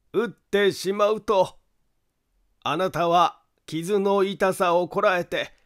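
A man voicing an eerie detective in an audiobook, slow, deliberate and insistent as he lays out a crime. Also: sits high, smooth. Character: eerie, slow, low-spoken male detective laying out a crime. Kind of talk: dramatic audiobook character acting.

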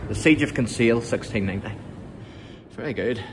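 A man's voice: mid-range voice, brisk, noisy background. A middle-aged man, filming a museum walkthrough on his phone and reading the display panels aloud with small stumbles and casual asides. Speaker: vlogger touring a museum. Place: indoor museum exhibit room.

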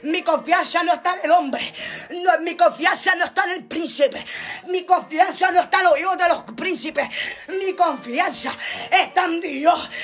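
A woman with a strikingly high voice preaches a fervent sermon. She declaims in emphatic, repeated phrases, with an impassioned delivery that often climbs toward shouting. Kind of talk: fervent Pentecostal-style sermon.

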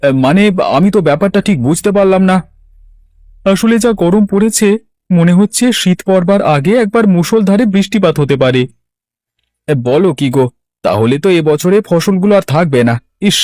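Cartoon villager , male actor: slightly high pitch, earnest fretting farmer, very dry sound. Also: a little mellow, no echo. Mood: concerned. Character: worried plain-spoken village farmer men. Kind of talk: Bengali cartoon dialogue.

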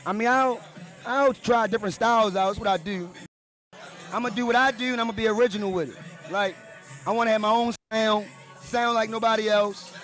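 A man with a raspy voice, interviewed at his own party in a dark club, talking in laid-back street slang. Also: high-pitched, steady tone, brisk. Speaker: rapper being interviewed. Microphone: handheld mic held by interviewer. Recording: music playing behind.

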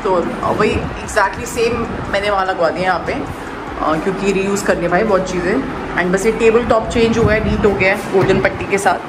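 A woman's voice: mid-range voice, fairly even intonation, moderate pace. A woman, chatting casually for a vlog and mixing in English phrases. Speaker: vlogger and salon owner. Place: indoor salon rooms being set up. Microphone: camera held at arm's length. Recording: loud room noise, handheld camera audio. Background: loud ambient room noise.

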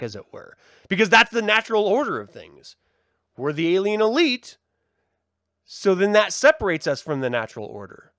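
A man's voice: fairly high pitch, fairly bright tone, dry recording, clean studio sound. A man hosting a live talk, reflecting aloud with rhetorical questions and emphatic stresses. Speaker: livestream host and religious reverend. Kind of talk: reflective live monologue to an online audience.

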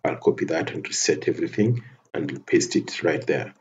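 A man giving a methodical, step-by-step software tutorial over a screen recording, often restarting his phrases. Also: rumbling low, normal speed, dull audio. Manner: methodical step-by-step narration with frequent restarts and fillers.

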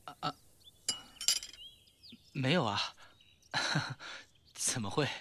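A man playing a weary, evasive, wounded former surgeon in his thirties in an audio drama, soft-spoken and guarded. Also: medium pitch, drawn-out words, noisy background. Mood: evasive, casual. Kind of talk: audio drama acting in Mandarin.

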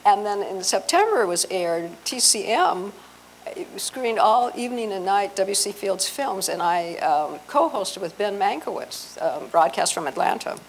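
A middle-aged American woman speaks informally to a meeting room. Her voice has a gravelly creak and she wanders haltingly through her stories. There is faint room noise behind her handheld microphone. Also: medium pitch, expressive. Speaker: presenter at a convention. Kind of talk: informal public talk. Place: hotel meeting room with seated audience. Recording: noisy hotel meeting room.

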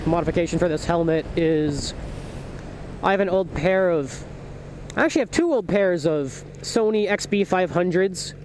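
A man's voice: sits high, animated, moderate pace. A young man rambling casually to viewers, thinking aloud and correcting himself, on a helmet microphone as he rides a motorcycle. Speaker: motovlogger. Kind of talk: casual vlog chat while riding. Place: inside a helmet, riding a motorcycle outdoors. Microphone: small microphone inside the helmet. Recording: loud engine, wind and road noise.

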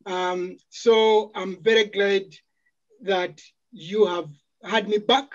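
A man's voice: high-pitched, fairly even intonation, takes it slowly, muffled recording. A middle-aged man from Uganda with a Ugandan accent, opening a guest lecture on a video call and stumbling over repeated words. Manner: hesitant, repeats words while starting.

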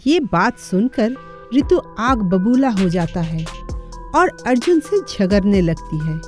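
A woman's voice: medium pitch, sing-song, slow pace, darkish tone, faint music behind. A woman giving scripted narration for a cartoon moral story.

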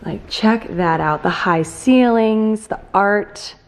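A woman's voice: mid-range voice, slow pace. A young adult woman talking casually and informally to her vlog audience in slangy everyday speech. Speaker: lifestyle vlogger. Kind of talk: casual chatty vlog talk. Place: indoors at home and in a house.

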